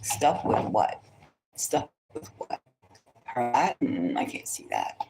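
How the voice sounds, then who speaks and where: A woman's voice: a bass voice, huge pitch swings, slow, vocal fry, music in the background, rough recording. A woman tarot reader chatting casually and thinking aloud, gossipy and opinionated, often rambling and repeating her phrases. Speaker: tarot reader hosting a celebrity psychic reading.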